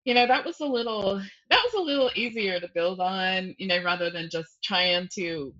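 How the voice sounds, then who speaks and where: A woman's voice: medium pitch, normal speed, fairly bright tone, lo-fi sound. A woman speaking as a guest novelist in a casual, informal interview, often breaking off and rephrasing as she thinks aloud.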